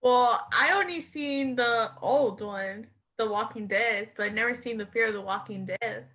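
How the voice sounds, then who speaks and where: A woman's voice: on the high side, a little slow, pushed and bright. A woman hosting a casual podcast, upbeat and asking her guests questions. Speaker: podcast host.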